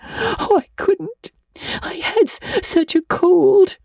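A woman's voice: extremely high pitch, takes it slowly, creaky, a little mellow, no room sound, clean studio sound, pitched above the performer's own voice, full character voice. A woman voicing a melodramatic, anguished young schoolgirl in a storybook, stammering and sobbing her words. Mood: tearful despair.

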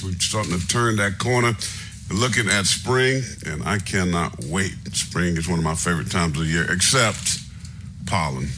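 Deep-voiced stuffy-nosed radio host. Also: male voice, a little hiss or hum. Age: middle-aged. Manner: relaxed, rambling, many fillers. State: congested, a little nasal. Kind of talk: casual radio talk.

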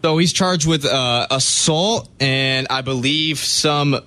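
A man's voice: mid-range voice, slow pace, pushed and bright, smooth, no room sound. A man co-hosting a sports radio show, reading out news stories and stumbling over words now and then.

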